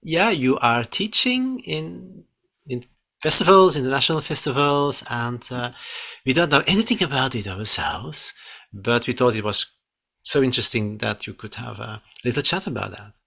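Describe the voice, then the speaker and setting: A male co-host with a bright, tense voice at a middle pitch. He asks his questions haltingly, filling the gaps with ums and uhs. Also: lively intonation, vocal fry. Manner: hesitant, many fillers in questions. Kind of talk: casual interview chat.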